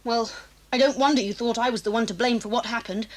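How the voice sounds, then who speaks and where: A woman's voice: fairly high pitch, fast talker, close to an everyday voice. A woman playing a lonely, proud, misunderstood boarding-school girl with an English accent in a children's audio drama. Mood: wistful, confiding.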